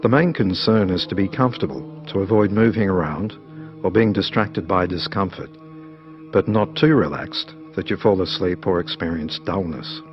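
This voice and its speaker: A man narrating a scripted guided meditation in a measured, instructional manner. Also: deep voice, dull audio, soft background music.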